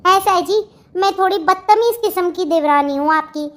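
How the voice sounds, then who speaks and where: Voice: very high voice, moderate pace, a bit bright. A performer voicing a rude, irritable young newlywed bride in a family cartoon, curt and sarcastic. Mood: defiant. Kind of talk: Hindi family comedy cartoon dialogue.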